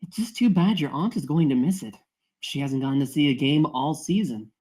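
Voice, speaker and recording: A woman's voice: low voice, moderate pace, warm tone, slightly rough. A woman playing a loving but scolding middle-aged mother at a screenplay table read. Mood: curious.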